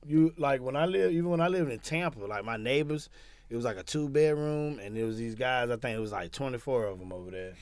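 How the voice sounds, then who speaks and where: A man's voice: medium pitch, fast talker, a little mellow, no room sound. A man co-hosting a podcast in casual, slangy, laid-back chat, recorded in a studio on a studio microphone. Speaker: podcast co-host.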